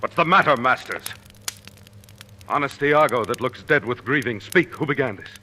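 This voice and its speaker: An actor playing a proud, commanding general with measured, declamatory Shakespearean delivery in old-time radio style. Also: male voice, medium pitch, animated, sharp tone. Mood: stern anger. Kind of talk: 1940s radio acting of Shakespeare. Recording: noisy vintage radio recording.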